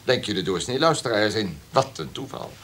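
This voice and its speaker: An actor playing a domineering, calculating radio station boss in a Dutch radio drama, with a pompous, commanding manner. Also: male voice, on the low side, big pitch swings, normal speed, fairly bright tone, slight background noise. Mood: forceful, calculating. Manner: pompous, commanding, throat-clearing.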